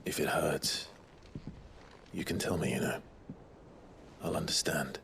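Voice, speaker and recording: Very deep, brooding warrior hero. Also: male voice, fairly even intonation, unhurried, gravelly, a bit bright, slight background noise, heavily acted. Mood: tender, subdued. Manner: grave, restrained, earnest. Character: brooding, determined male warrior hero, self-sacrificing. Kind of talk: dramatic video game cutscene acting.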